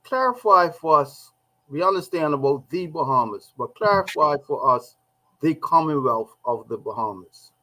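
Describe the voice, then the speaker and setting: Deliberate male host, slightly higher voice. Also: expressive, bright, forceful tone, poor audio. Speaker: talk show host. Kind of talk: hosting a live regional news roundtable.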